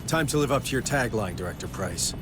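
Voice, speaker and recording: An actor playing an embittered marine sergeant in a game, gruff, blunt and confrontational. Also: male voice, medium pitch, animated, fairly quick, noisy background, close to an everyday voice. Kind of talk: dramatic game acting.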